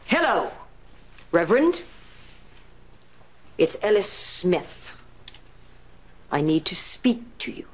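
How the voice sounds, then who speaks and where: A woman's voice: lowish voice, sing-song, slow, long pauses, breathy edge, exaggerated voice. An actress playing a strong-willed, principled woman who writes a 1930s radio serial, speaking firmly and dryly in a period drama. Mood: dictating, absorbed. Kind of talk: period television drama acting.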